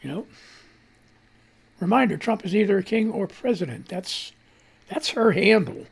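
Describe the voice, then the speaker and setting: A man hosting a casual live radio news show, reading posts aloud and ranting about them with sarcasm and the odd swear word. His voice is gravelly, and he keeps holding back a cough. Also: high voice, lively intonation, normal speed. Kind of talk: casual political commentary, reading and reacting to posts. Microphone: studio mic on a live stream.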